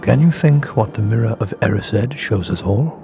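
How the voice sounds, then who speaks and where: A man voicing a wise, gentle old wizard headmaster in a video game, with a measured, grave, kindly manner. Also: fairly low pitch, expressive, vocal fry, music in the background.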